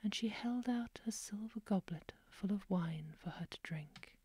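A woman whispering a fairy tale aloud in a soft ASMR style, close to the microphone. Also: mid-range voice, a little slow, breathy edge. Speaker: storyteller.

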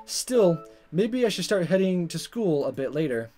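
A man reading a visual novel aloud as a let's player, in expressive narration with casual asides and stumbles that he corrects. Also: a little high, normal speed, soft background music. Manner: animated, stumbles over words and corrects himself.

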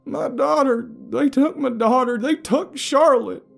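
A man voicing a sickly, desperate bearded father in an audio story, slow and strained, pleading like one half crazed. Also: medium pitch, steady tone, dark tone, breathy edge, faint music behind, pitched above the performer's own voice. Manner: slow, drawn-out, desperate cries and chants.

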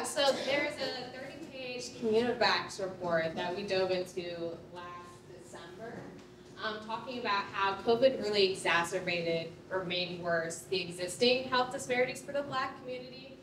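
A woman's voice: medium pitch, a bit bright. A young adult woman giving an informal live presentation in a conversational, explanatory way. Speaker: presenter and artist on a project team. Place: large concrete rehearsal hall. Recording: echoey hall, distant, faint background noise.

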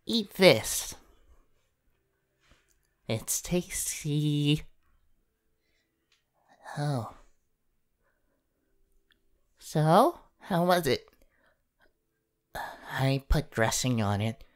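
A woman voicing a gentle, awkward, encouraging young male superhero in an ASMR comfort role-play, speaking haltingly and at times in a whisper. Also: sits low, lively intonation, full character voice. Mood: casual, grumbling. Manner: hesitant, halting, partly whispered.

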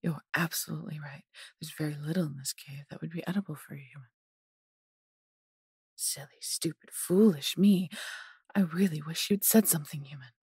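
A woman voicing a possessive, haughty yet doting female dragon in an intimate audio roleplay, condescending and theatrical, breathy and husky, often dropping to a whisper. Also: low voice, normal speed, dark tone, no echo, clean studio sound. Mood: apologetic, doting. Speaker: voice actor.

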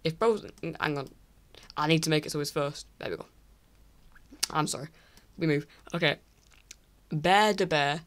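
A teenage boy from England ranking online creators in casual screen-recorded commentary, rambling and apologetic with many restarts, his voice croaky from recording late at night. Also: on the high side, moderate pace, pauses often, fairly bright tone. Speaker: YouTuber. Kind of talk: casual tier list commentary.

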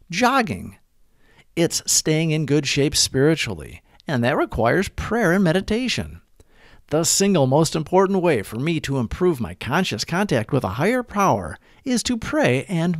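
A man narrating scripted devotional readings in a measured, deliberate way. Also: fairly high pitch, no room sound, clean studio sound. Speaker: narrator of daily recovery readings.

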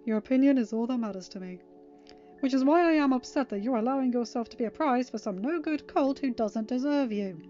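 Woman as male chaos creature, dramatic storybook character, devoted, protective, emphatic drawn-out delivery, muffled lo-fi recording. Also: a little high, mellow, pitched above the performer's own voice, lightly acted. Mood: tender, upset. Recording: muffled lo-fi audio with music.

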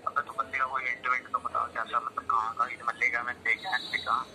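A man talking casually as a friend on a phone call, heard through a loudspeaker. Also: medium pitch, little pitch movement, fast, slight background noise. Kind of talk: casual chat.

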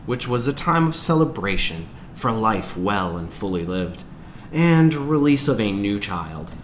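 Low-voiced man reading a story aloud. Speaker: teacher reading a novel aloud. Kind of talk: audiobook-style read-aloud narration. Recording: clear background noise.

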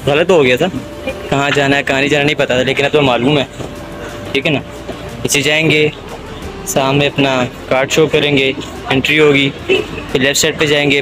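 A young man walks and talks straight to his viewers, chatty and excited, his voice sitting at an ordinary middle pitch. He holds a phone at arm's length outdoors in a busy plaza, with music playing clearly behind him. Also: low-quality recording. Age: young adult. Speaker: travel vlogger. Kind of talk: casual walking tour vlog. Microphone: handheld phone at arm's length.

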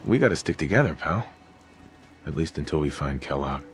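A man's voice: extremely low pitch, expressive, fairly quick. An actor with an American accent playing a tough, sardonic male survivor in a video game, speaking tersely. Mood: determined. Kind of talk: video game protagonist dialogue. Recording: game music clearly behind the voice.

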